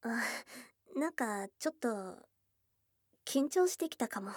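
A woman voicing a shy, affectionate young goblin girl in a Japanese ASMR voice drama, with casual tomboyish speech and a soft, hesitant delivery. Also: fairly high pitch, animated, slow pace, dry recording, clean studio sound. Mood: nervous. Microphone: close studio mic, dry.

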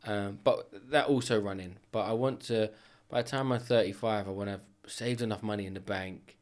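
Chatty man in his thirties. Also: fairly low pitch. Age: 30s. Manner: chatty, animated storyteller with quick asides. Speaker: business owner guest. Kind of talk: casual podcast chat.

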